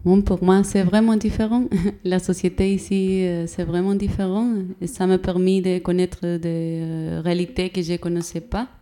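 A woman's voice: lowish voice, level tone, moderate pace, warm tone. Hesitant woman speaking French as a learner. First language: not French. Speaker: intern interviewee. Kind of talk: radio interview answer.

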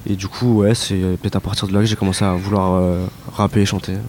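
Young man, low voice, hesitant and casual, light background noise. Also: quick pace. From Italian descent, raised in France. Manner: hesitant, many fillers, relaxed slang. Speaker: rapper interviewed by students. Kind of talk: casual interview answers.

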